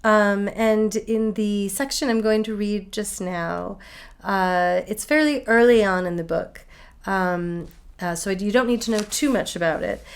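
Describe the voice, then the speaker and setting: A woman novelist reading from her own book in an expressive, literary storytelling style. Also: mid-range voice, a little slow, clear, resonant tone.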